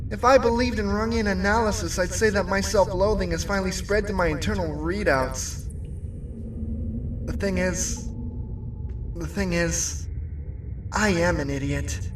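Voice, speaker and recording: A man playing a neurotic, self-deprecating robot who narrates his own troubles in an animated comic. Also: high-pitched, unhurried, pauses often, distant mic, background noise. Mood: self-loathing, exasperated. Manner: self-mocking, dramatic.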